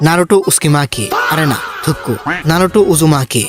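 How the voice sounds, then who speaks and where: Man acting a scheming old villain over loud music. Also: fairly high pitch, brisk. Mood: wounded, threatening. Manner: teasing, menacing, boastful. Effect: voice changer. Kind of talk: comic amateur anime parody dub.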